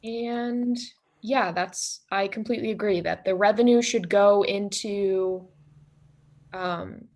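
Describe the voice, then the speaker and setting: A woman's voice: mid-range voice, takes it slowly, a little mellow. A woman student speaks over a video call in a class role-play as an economist. She sounds earnest and agreeable. She pads her points with frequent fillers.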